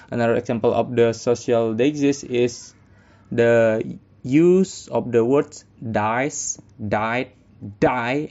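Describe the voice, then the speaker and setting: A young man works through a class presentation, stopping often on fillers and restarts as he reads. His voice is mellow and dark. The recording is dull and lo-fi. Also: medium pitch. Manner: halting, many fillers and restarts, reading notes.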